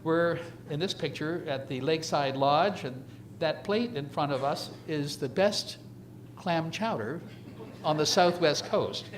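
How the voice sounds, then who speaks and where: A man in his seventies giving an informal, reminiscing slide lecture in a halting, conversational way, speaking directly to his audience. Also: on the high side, soft background music. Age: 70s. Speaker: retiring surgeon giving an emeritus lecture.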